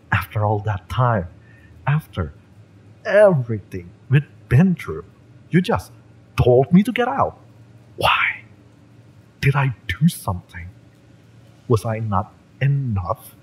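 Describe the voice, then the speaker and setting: A man playing a fiery, wounded mercenary in a romance audio roleplay, bitter but lovesick, speaking emotionally and haltingly with sighs and drops into whispers. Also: fairly low pitch, slight background noise, rough recording. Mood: hurt, demanding.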